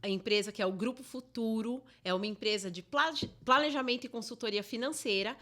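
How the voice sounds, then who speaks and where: A woman's voice: on the high side, brisk, sharp tone. A woman hosting a podcast interview, introducing her guest and giving frequent affirming backchannels. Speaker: podcast host, therapist.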